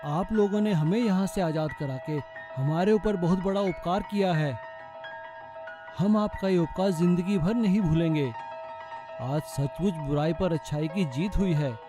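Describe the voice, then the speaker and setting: A man's voice: sits high, a little slow, over music. A man voicing a grateful, courteous young prince in a children's cartoon. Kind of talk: children's cartoon story acting.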